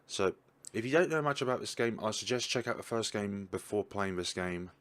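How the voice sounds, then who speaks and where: A young man with a low-pitched voice gives casual commentary on a game. He thinks out loud and gets excited as he goes, talking into a desk mic. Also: brisk. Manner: chatty, thinks aloud, excitable. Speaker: gaming YouTuber. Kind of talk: casual let's-play commentary.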